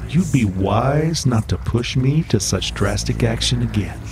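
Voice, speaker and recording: A man voicing a stern, commanding cult handler with a lively pitch. There is a clear layer of noise behind him. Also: measured pace, natural voice.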